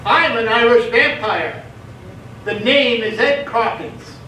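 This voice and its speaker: A man's voice: very high voice, takes it slowly, sharp tone, heavily acted. An older man playing a mild, rambling, unassuming Irish vampire time traveler in live radio theatre. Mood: affable, easygoing. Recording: very echoey hall, distant mic, faint noise.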